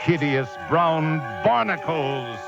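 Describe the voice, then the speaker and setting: Haunted lighthouse keeper telling a horror tale. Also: male voice, fairly high pitch, expressive, takes it slowly, creaky, full character voice. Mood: grim dread. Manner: dramatic, vivid storytelling. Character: haunted lighthouse keeper recounting a siege of rats. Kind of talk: first-person radio horror narration. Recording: dull lo-fi radio tape, music behind.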